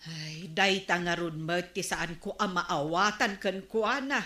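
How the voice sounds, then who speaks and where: Slow-speaking, brooding village woman. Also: on the low side, pushed and bright. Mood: concerned. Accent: Ilocano. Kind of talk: Filipino comedy radio drama acting. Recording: roomy, echoing studio sound.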